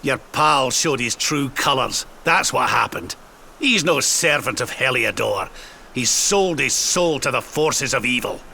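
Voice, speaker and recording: An actor playing a wise older companion to a hero in a video game, solemn and measured, with a Scottish accent. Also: male voice, mid-range voice, expressive, creaky, slight background noise, full character voice. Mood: grim. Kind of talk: video game cutscene acting. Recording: loud orchestral music behind.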